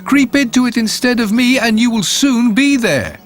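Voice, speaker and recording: Guy doing a high clever young peasant, storybook voice, lots of swoop. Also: expressive, moderate pace, faint music behind. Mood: pleading. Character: frightened young peasant, quick-witted.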